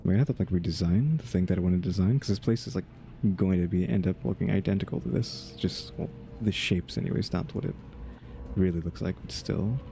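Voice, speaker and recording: A man giving casual game playthrough commentary, rambling as he thinks out loud, with some noise behind him. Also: low voice, fast talker, creaky. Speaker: gaming video host.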